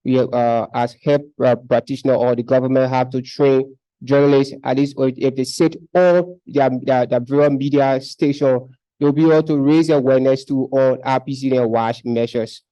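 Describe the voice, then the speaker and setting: A man's voice: medium pitch, fairly even intonation, moderate pace, dark tone, dull audio. A young man from Liberia, speaking up earnestly at a conference, often repeating words as he makes his case. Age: young adult. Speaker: conference participant. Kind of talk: discussion contribution. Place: conference hall.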